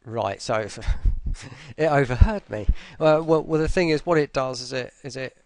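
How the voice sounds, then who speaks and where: A tired man giving a casual, unscripted software walkthrough in a hesitant, low-key, rambling way, speaking close to the mic. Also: measured pace, no echo. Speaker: voice pack creator presenting an update.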